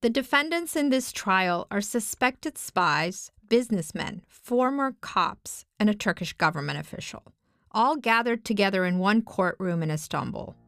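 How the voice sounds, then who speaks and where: A woman's voice: medium pitch, animated, a bit bright, dry recording, studio quality. Woman reporter narrating a documentary. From Afghanistan. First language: Farsi. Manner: measured, serious storytelling. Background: music.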